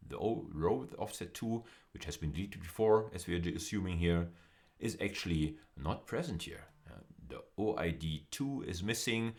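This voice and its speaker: Male university lecturer, low-pitched voice, deliberate explanatory delivery over slides, restating key phrases. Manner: deliberate, repeats and rephrases phrases for emphasis.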